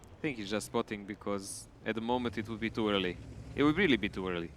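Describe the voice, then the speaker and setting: A man's voice: on the low side, fast talker, slight background noise. A man co-casting a live esports match, talking in a chatty way with loose phrasing and restarts. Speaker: esports co-caster.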